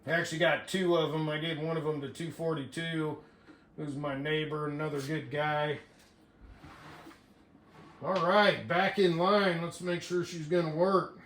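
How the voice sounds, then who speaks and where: A man's voice: a little high, flat delivery, unhurried, fairly bright tone, a little hiss or hum. An American man chatting casually and in a folksy way as he hosts a hobby radio video. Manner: folksy, says "man" often. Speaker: CB radio operator and video host. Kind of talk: casual hobby vlog chat. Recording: roomy echo.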